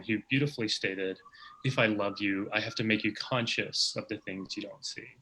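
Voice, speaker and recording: A man's voice: lowish voice, pushed and bright, slight background noise, poor audio. A man hosting an interview, speaking earnestly with frequent fillers and restarts. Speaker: host.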